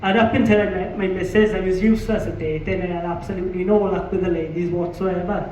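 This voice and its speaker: A man's voice: sits high, fairly even intonation, fast, darkish tone, a little hiss or hum. A man with a Welsh accent doing a stand-up comedy set in a pub, punchy, sweary and self-mocking. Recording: echoey pub room, distant muffled mic.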